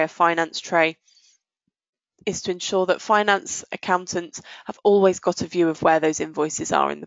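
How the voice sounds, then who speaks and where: A woman presenting a software walkthrough in a methodical, explanatory way. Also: on the low side, vocal fry, no room sound, highs cut off. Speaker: software demonstrator.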